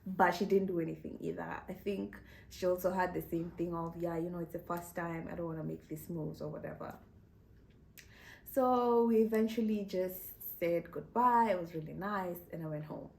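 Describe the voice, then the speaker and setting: A woman's voice: lowish voice, normal speed, gravelly, a little mellow, low-quality recording. Chatty woman telling a story. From Kenya. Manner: chatty, laughs often, leans on "you know". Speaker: vlogger. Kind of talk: casual storytelling to camera.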